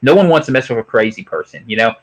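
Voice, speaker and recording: An American man hosting an unscripted livestream, reading chat comments aloud and giving opinionated, digressive commentary. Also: quick pace. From United States. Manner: animated, opinionated, digressive, pitch jumps up sharply on emphatic points.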